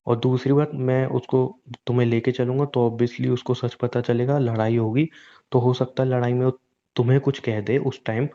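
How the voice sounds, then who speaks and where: A man's voice: mid-range voice, little pitch movement, moderate pace, mellow, lo-fi sound, lightly acted. A man playing a weary, guilt-ridden young husband in a naturalistic relationship drama, earnest and pleading, often repeating himself. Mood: apologetic, cautious. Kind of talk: naturalistic Hindi relationship audio drama, staged as a private conversation.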